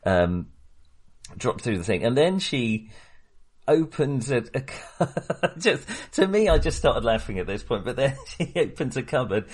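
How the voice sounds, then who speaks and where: A man co-hosting a podcast, talking through a film casually in a halting, hesitant way. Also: mid-range voice, sing-song, husky. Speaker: podcast co-host.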